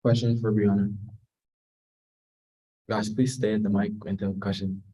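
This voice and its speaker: Man chairing a meeting, dark tone. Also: lowish voice, flat delivery, dull audio. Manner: brisk procedural calls to speakers.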